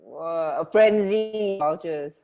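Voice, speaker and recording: A woman's voice: lowish voice, takes it slowly, mellow. A woman co-hosting a radio show in casual, unscripted chat, musing and often trailing off mid-thought. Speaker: radio co-host.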